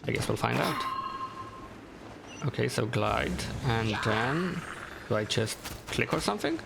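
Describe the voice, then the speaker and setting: A man with a gravelly, creaky voice at a middling pitch commentates casually on a game. He is easygoing and talks himself through it, with clear noise and game ambience behind him. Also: expressive, a little slow. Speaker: gaming streamer. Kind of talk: casual let's play commentary.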